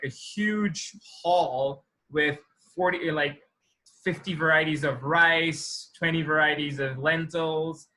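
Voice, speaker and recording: A man in his thirties with an Indian accent and a bright, slightly high voice. He chats as a guest, sharing anecdotes in a halting way and rephrasing himself along the way. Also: poor audio. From Assam, India. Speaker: interview guest. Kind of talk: casual chat, anecdote telling.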